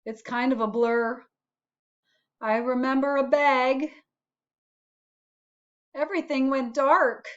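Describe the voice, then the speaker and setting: Woman as a storybook bad seed, rueful confession, slow drawn-out delivery, slightly high, muffled recording. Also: fairly even intonation. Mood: wistful, dramatic. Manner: deliberate, drawn-out dramatic storytelling.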